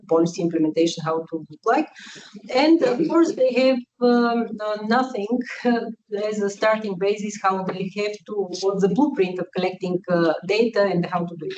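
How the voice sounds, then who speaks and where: A woman from Bulgaria giving an academic conference talk, hesitant, with many fillers and uneven emphasis. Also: mid-range voice. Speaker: conference speaker, researcher. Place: conference hall. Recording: distant, dull, faint noise.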